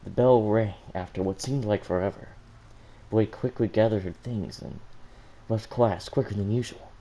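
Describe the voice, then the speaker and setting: A man's voice: fairly low pitch, moderate pace, mellow. A man reading a story aloud as an amateur, stumbling over words and muttering corrections to himself. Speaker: fan fiction reader on a gaming channel.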